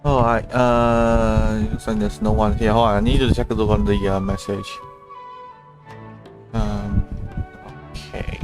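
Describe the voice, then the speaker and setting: A man giving casual livestream commentary on a game in a halting, hesitant way. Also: fairly low pitch, little pitch movement. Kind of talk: casual livestream commentary, reading along with dialogue. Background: game music.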